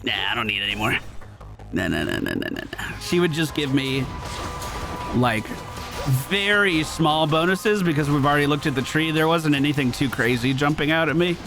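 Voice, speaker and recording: A man's voice: on the high side, expressive, moderate pace. A male gaming streamer giving casual live commentary, chatting and thinking aloud as he plays. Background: game music.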